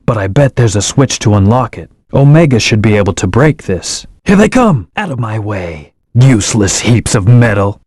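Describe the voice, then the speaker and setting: An actor voicing an aloof male hedgehog hero in a video game, giving calm, terse commands and hints. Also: mid-range voice, no echo, clean studio sound. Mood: fierce. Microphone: studio microphone.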